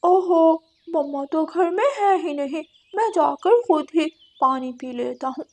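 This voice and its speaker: A woman's voice: sits high, unhurried, a little mellow. A woman voicing a small, petulant young male crow in a moral-story cartoon, with a childish, whiny manner. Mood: thirsty.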